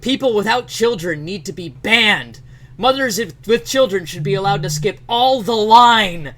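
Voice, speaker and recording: A man's voice: lively intonation, moderate pace, pushed and bright. A man voicing an enraged, entitled, foul-mouthed mother in a whiny, overwrought dramatic reading. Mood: outraged. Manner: shrill, dramatically overwrought ranting.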